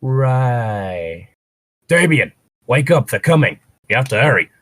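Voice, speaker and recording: Male co-host, mid-pitched, wry and casual, alternating read-aloud narration with joking asides, dry sound. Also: big pitch swings, slow pace, a bit bright, no room sound. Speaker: co-host of a fan fiction reading show. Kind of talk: casual riffing while reading fan fiction aloud.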